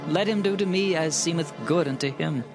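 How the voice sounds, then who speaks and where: A man's voice: fairly high pitch, brisk, natural voice. A man playing a devout, sorrowful but resolute king, declaiming fervently in a dramatized scripture reading. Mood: resigned, resolute. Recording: loud music behind the voice. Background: music.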